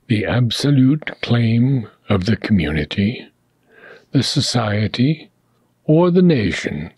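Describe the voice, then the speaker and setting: A man reads a philosophy book aloud in short, measured phrases broken by long pauses. His voice is mellow and a little husky, unhurried throughout. The recording is dry and close, with no room sound. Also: medium pitch, dry recording. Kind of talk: slow, deliberate reading aloud.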